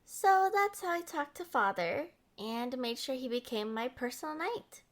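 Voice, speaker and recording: Girl voice actor doing a spoiled lovestruck young princess, really high voice, swoops around a lot, coy and possessive, romance roleplay. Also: animated, unhurried. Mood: haughty, pleased. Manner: coy, entitled, playfully defensive. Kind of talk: scripted romantic roleplay audio.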